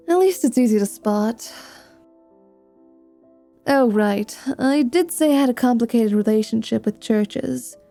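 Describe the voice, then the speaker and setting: Slow, flirty woman acting as a sardonic vampire mercenary. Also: fairly high pitch, creaky, dark tone. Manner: teasing, dry, dramatic. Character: flirty, sardonic female dhampir mercenary, world-weary, unhinged. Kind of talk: ASMR fantasy roleplay acting.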